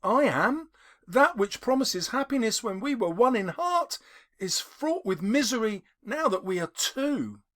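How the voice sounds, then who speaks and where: A man voicing a gentle, sorrowful but resolute young woman in an audiobook of a classic novel. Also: mid-range voice, big pitch swings, a little slow, voice pushed up. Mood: sorrowful, firm.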